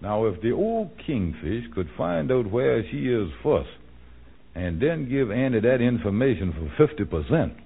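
A man's voice: mid-range voice, big pitch swings, moderate pace, creaky. An actor playing a scheming small-time con man in an old American radio comedy, blustering and hemming and hawing. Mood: sly, plotting. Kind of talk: 1940s-50s American radio comedy acting. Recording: tinny, band-limited old radio recording.

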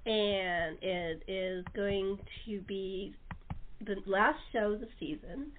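Woman, talk show host, casual chat, enthusiastic, mid-range pitch, narrow telephone sound. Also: slow pace, slight background noise. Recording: tinny, narrow phone-line sound.

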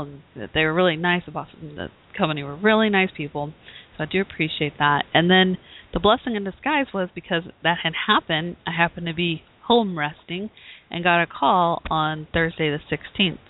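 A woman who hosts a talk show, hoarse from bronchitis, giving a rambling, casual update with many fillers and restarts. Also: on the low side, a little fast. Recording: tinny phone-like audio.

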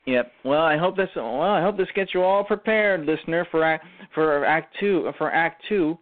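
A man hosting a radio show, speaking in a halting way that often restarts and repeats phrases as he gives commentary. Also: a little high, no room sound. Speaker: radio show host and writer-performer. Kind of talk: show commentary, semi-prepared talk. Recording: muffled lo-fi audio.

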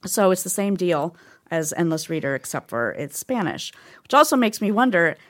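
Upbeat female podcast host, a touch low-pitched, talks things through in detail. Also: sing-song, normal speed. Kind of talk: casual chat. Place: recording studio.

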